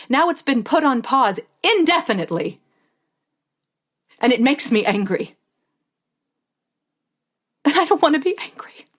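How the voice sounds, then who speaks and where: An American woman playing a stressed, devoted mother of a small daughter in a first-person audio drama, speaking in a confiding, expressive way that moves between tenderness and exasperation. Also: sits high.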